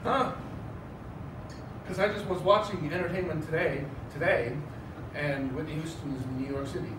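A man doing a fussy middle-aged mom with a fairly high voice, nagging and teasing in a dry way. The sound is captured from far off in an echoey theater, with noise behind. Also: steady tone, normal speed, close to an everyday voice. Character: fussy middle-aged mother scolding her son. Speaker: improv performer. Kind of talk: improvised stage comedy. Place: theater stage. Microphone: far room camera.

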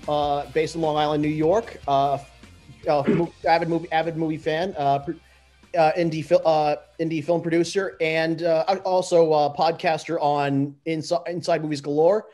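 A man introducing himself casually and haltingly on a video call. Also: a little high, steady tone, darkish tone, faint music behind. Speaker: guest, indie film producer and podcaster.

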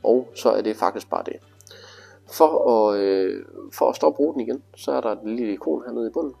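A man's voice: mid-range voice, creaky, warm tone, breathy edge, faint music behind. A man giving a casual, hands-on tutorial, explaining things informally step by step. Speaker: instructor demonstrating motorcycle display.

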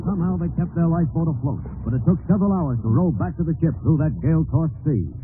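A man's voice: a little high, expressive, fast talker, narrow, tinny audio, slight background noise. A man announcing and narrating in the formal, scripted style of 1940s radio.

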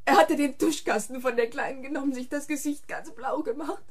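Actress as distraught high-voiced wife. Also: female voice, close to an everyday voice. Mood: hysterical, weeping. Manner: halting, repetitive, agitated. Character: distraught, panicked wife who killed her tormenting husband. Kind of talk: German radio thriller acting.

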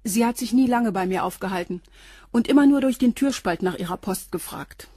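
Radio crime drama: actress as a guarded concierge, slightly husky, dry recording. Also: female voice, medium pitch, a little fast, dark tone, no echo, lightly acted. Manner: guarded, short replies. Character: guarded neat concierge, about forty. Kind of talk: radio play acting.

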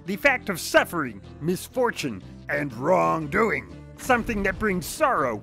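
A sinister cartoon villain, gleeful and theatrical. The voice is sharp and creaky, swinging widely in pitch. Every word is drawn out with wicked relish. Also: male voice, big pitch swings, measured pace, soft background music, exaggerated voice. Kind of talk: theatrical dictionary reading.

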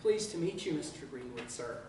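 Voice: mid-range voice, expressive, brisk, lightly acted. Brief: reader doing an Irish poet-tugboat man, polite and dry, Irish lilt; distant, echoey live-reading sound with room noise. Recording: echoey room, far from mic, background noise.

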